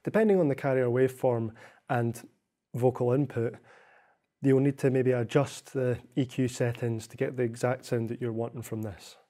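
Mellow young man giving a tutorial. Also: medium pitch, steady tone, moderate pace. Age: young adult. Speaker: software demonstrator. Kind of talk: tutorial narration.

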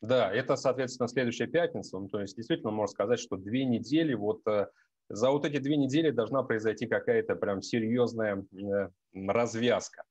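Guy in his 30s doing trading analysis on a video call, hesitant and full of "uh", headset mic, flat dead-sounding audio. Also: on the low side, brisk, dry recording, muffled recording. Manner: many hesitations, addresses viewers as friends. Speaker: market analyst host. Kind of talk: market analysis talk.

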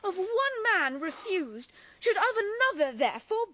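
A woman's voice: extremely high pitch, sing-song, moderate pace, fairly bright tone, slight background noise, heavily acted. A woman playing a young lovelorn maiden in a classical radio drama, earnest and plaintive, with theatrical verse delivery. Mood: hurt, indignant.

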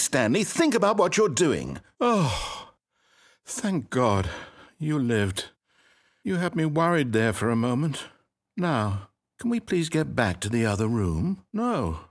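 A needy, sarcastic game narrator. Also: male voice, a little high, takes it slowly, heavily acted. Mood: pleading. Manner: swings between pleading, sarcasm and excitement. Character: fussy, needy male narrator of an office game.

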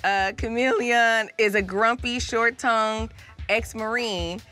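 A woman's voice: a little high, steady tone, slow, sharp tone, soft background music. An American woman in her thirties, casually hosting a challenge show as its host and coach. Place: podcast studio.

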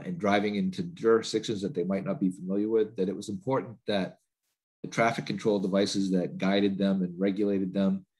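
Low husky man presenting a webinar. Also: darkish tone, rough recording. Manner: rambling, with many fillers and restarts. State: stuffy with a cold. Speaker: trainer. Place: home office. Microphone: laptop video call.

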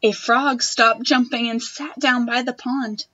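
A young woman reading a picture book aloud to children in a gentle, expressive manner. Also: a little high, measured pace, husky, highs cut off. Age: young adult. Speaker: storytime reader. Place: wood-panelled room at home.

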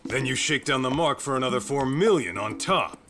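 An actor playing a tough, confident street detective in a video game, blunt and wry. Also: male voice, mid-range voice, expressive, fairly quick, over music, lightly acted. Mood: menacing, wry. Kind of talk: video game cutscene acting, English dub.

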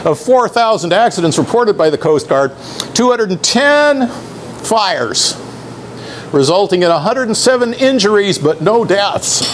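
A middle-aged man presenting a live talk to sailors in a club room, explaining things in an unhurried way with a few restarts and fillers. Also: sits high, big pitch swings, a little hiss or hum.

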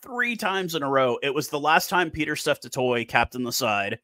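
A man in his thirties hosting a casual video call chat from a small room on a headset, animated in his talk. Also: mid-range voice, normal speed, dry recording. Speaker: host.

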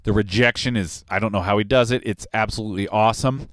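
A man in his forties, a recording engineer and host, talking in a relaxed, rambling, technical way in a studio control room on a broadcast mic. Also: on the low side, fast talker, no echo. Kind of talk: casual livestream talk on recording. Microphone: large broadcast mic on arm, headphones on.

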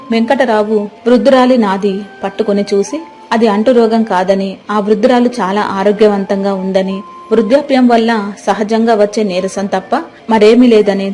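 A woman's voice: mid-range voice, warm tone. A woman narrator reading a scripted moral story.

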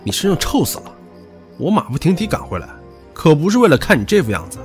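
An actor playing a hot-tempered, possessive young rich heir in an audio drama, brash and taunting. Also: male voice, fairly high pitch, very animated, quick pace, soft background music. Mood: needling. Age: young adult. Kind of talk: Chinese audio drama acting.

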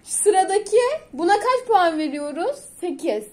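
A woman's voice: very high-pitched, sing-song, measured pace. A young woman chatting casually and expressively as she reacts to songs, recorded on a phone indoors. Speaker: vlogger reacting to cartoon songs. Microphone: phone on camera.

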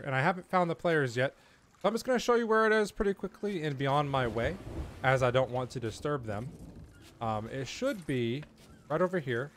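A middle-aged man giving a casual, easygoing video game walkthrough, speaking informally to his viewers. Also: mid-range voice. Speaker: video game guide creator and host. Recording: clear background noise under voice.

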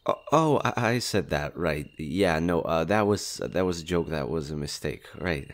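A man's voice: very deep voice, expressive, moderate pace. A man playing a shy, nervous, friendly skinwalker in human disguise in a roleplay audio drama, hesitant and stammering. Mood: nervous, awkward.

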